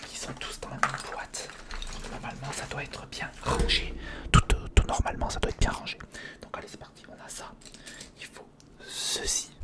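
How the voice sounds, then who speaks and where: An ASMR creator whispering casual step-by-step commentary on a hands-on build, murmuring directly to the listener.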